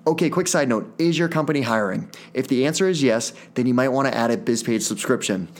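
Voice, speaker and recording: A man's voice: mid-range voice, expressive, fast. A man hosting a tech podcast, reading a prepared intro and ad, then chatting with his guest in a friendly way. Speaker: podcast host. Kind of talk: scripted intro then conversational interviewing.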